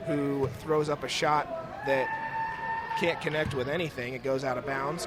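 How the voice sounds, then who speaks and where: A young man giving live play-by-play commentary of a basketball game as the announcer. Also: on the high side. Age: young adult. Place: indoor school gymnasium during a game. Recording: noisy gym ambience, bouncing basketballs. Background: game noise, basketball bounces.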